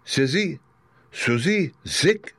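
A man's voice: fairly high pitch, animated, drawn-out words, fairly bright tone, husky, no room sound, voice pushed up, heavily acted. A man voicing a young, earnest and hopeful girl in a storybook reading. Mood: chanting.

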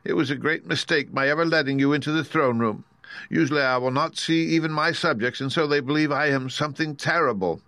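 A man's voice: fairly high pitch, a little fast, bright, forceful tone, dry recording, voice pushed up. A man voicing a meek, pleading little old fake wizard with a trembling voice, in a storybook reading. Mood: sheepish, fretful.

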